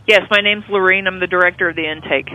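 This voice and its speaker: A woman's voice: lowish voice, fairly even intonation, quick pace. A woman working as a nurse, talking in a firm, matter-of-fact way on a businesslike phone call. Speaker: nurse, hospital intake director. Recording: tinny, narrowband phone line.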